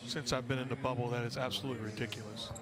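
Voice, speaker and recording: A man's voice: mid-range voice, steady tone, racing, a crowd in the background. A man doing chatty basketball color commentary with analysis and anecdotes. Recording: noisy game broadcast with court sounds.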